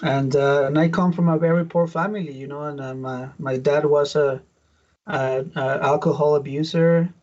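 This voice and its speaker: A middle-aged man from Mexico with a Mexican Spanish accent, a native Spanish speaker giving his testimony as a pastor in an interview, halting with many restarts. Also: on the high side, fairly even intonation, darkish tone, highs cut off. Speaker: pastor, guest.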